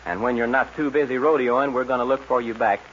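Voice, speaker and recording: A man's voice: mid-range voice, fairly even intonation, fast talker. A man hosting a scripted 1940s radio guest chat in a cordial, folksy manner. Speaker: radio show host. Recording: muffled, noisy 1940s radio recording.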